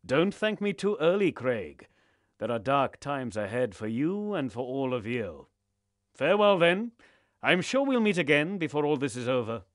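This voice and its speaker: An actor playing a solemn, commanding lord in a fantasy game, speaking in formal, measured courtly phrases. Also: male voice, mid-range voice, big pitch swings, no echo, clean studio sound, natural voice. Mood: stately. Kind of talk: fantasy game cutscene acting.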